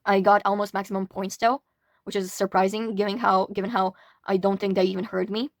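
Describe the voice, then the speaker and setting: A young woman from Latvia reads tarot for her viewers in a loose, chatty way. She stumbles and restarts her words and drops the occasional swear. Her voice sits at an ordinary middle pitch, recorded dry and close with no room sound. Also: level tone, fairly quick, no echo. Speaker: tarot reader. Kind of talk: casual pick-a-pile tarot reading.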